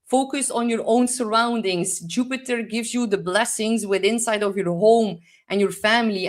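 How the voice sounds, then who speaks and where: A young woman with a Dutch accent gives a rambling, casual astrology forecast, full of "like" fillers, sometimes searching for English words. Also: mid-range voice. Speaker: astrologer host.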